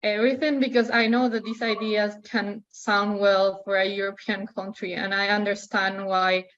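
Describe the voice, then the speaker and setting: Young female participant, Peruvian Spanish accent, even intonation, searching for words, muffled lo-fi call audio. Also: mid-range voice, normal speed. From Peru. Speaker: participant asking a question. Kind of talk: spontaneous question in a discussion.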